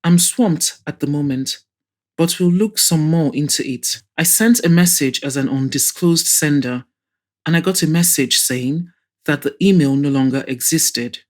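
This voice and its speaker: A woman's voice: low voice, measured pace, a little mellow. A woman reading the part of an easygoing, joking married man among friends in an audio drama. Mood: casual.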